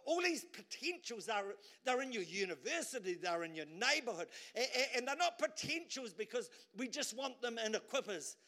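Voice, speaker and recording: High, bright-voiced animated male preacher. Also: moderate pace, few pauses. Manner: animated, swings between loud bursts and low asides; repeats words when pushing a point. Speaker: pastor. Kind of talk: sermon.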